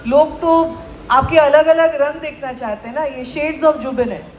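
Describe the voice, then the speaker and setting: A woman's voice: high-pitched, measured pace. An Indian woman hosting a live stage interview, coaxing her guest and mixing English into Hindi. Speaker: talk show host, interviewer. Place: large live event stage. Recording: echoey event PA, crowd, faint noise.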